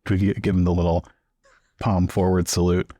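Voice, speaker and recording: Deep, husky man bantering over a game. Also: little pitch movement, brisk, warm tone, no echo, clean studio sound. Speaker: player in a tabletop game. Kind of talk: casual joking game banter.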